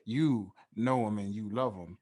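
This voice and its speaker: A man hosting a casual podcast, talking in a smooth, laid-back radio-style way and often adding "you know what I'm saying.". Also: on the low side, a little slow, a little mellow. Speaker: podcast host. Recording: music playing underneath.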